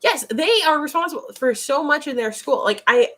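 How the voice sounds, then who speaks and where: A young adult woman from the United States hosting a casual chat stream, blunt and animated, laughing often as she talks. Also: sits high, fairly quick. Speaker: stream host. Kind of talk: casual chat reading chat messages.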